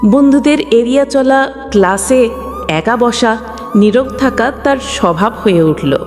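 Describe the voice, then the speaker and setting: A woman's voice: on the high side, lively intonation, unhurried, darkish tone. A woman reading a scripted emotional story as a storyteller, in a dramatic, sentimental delivery. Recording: long hall reverb, music bed. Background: background music.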